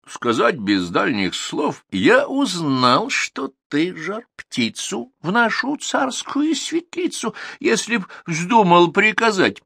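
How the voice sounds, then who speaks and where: Man doing a fairy-tale tsar, old, bearded, furious, yelling high, voice leaping all over, dry close sound. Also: gravelly, breathy edge, dry recording, full character voice. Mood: mocking. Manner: shouting, threatening.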